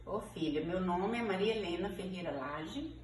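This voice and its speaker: A woman's voice: on the low side, animated, moderate pace. A woman of sixty-five with a Minas Gerais Brazilian accent, answering informal interview questions conversationally, often checking in with little tag words. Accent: Brazilian Portuguese, Minas Gerais. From Ferros, Minas Gerais, Brazil. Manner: conversational, frequent checking tags like "né" and "sabe". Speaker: interviewee, teacher. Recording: echoey room, distant mic, background noise.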